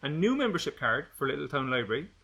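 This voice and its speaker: A man's voice: mid-range voice, sing-song, fast talker, gravelly, pushed and bright. A man narrating a funny children's book in a playful, jokey way. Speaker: audiobook narrator, author.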